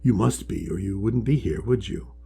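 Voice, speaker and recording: A man voicing a deep, ancient sea sage. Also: creaky, warm tone, a little hiss or hum. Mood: kindly, encouraging. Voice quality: deep, resonant. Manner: slow, measured, kindly pronouncements. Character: huge ancient wise male sea creature, gentle and friendly. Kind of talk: storybook character voice.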